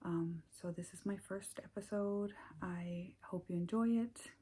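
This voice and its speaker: Icelandic woman, American English accent, slow and unhurried, mellow husky tone, hesitant casual host. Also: on the low side, steady tone. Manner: hesitant, many ums and restarts, soft-spoken. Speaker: knitting podcast host. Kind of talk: casual chat to camera.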